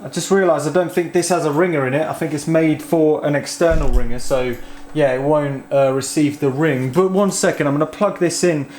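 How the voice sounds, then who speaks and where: A British man giving casual, excitable hands-on commentary as he tinkers with gadgets, thinking aloud informally. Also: fairly high pitch, brisk, slight background noise. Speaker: host of a vintage technology museum channel.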